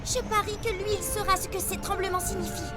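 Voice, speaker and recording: A curious young cartoon animal. The voice is extremely high, childlike and eager, heard over loud music. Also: female voice, fairly even intonation, a little fast. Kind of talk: children's cartoon dub acting.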